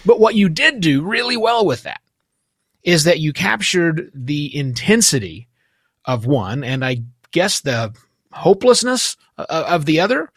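Male podcast host, casual interviewer, emphatic, animated intonation, dry recording. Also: on the high side, a little slow, no echo. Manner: emphatic, conversational.

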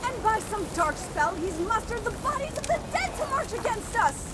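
Terrified village woman voiced by an actress, extremely high voice, melodramatic swoops, accusing, loud ambience behind. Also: big pitch swings, moderate pace, very noisy. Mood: panicked. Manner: fearful, melodramatic. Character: panicked, accusing female villager. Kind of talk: audio drama acting.

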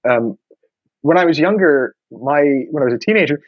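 Husky, dry-voiced New man. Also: a little high, expressive, normal speed, no echo, muffled recording. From New York. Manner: reflective, many restarts and fillers. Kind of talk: casual conversation.

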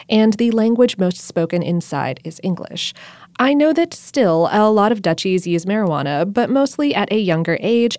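A woman co-hosting a food podcast, enthusiastic and quick to laugh, sometimes stumbling over her words. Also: medium pitch, a little mellow, no echo, clean studio sound. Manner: enthusiastic, laughs often, a little halting. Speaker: podcast co-host. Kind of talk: casual chat.

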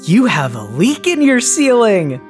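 A man's voice: high-pitched, wildly swooping pitch, a little slow, music in the background, heavily acted. A man playing a childlike alien posing as a human, cheerfully literal and stiffly eager, in a dramatised fan fiction reading.

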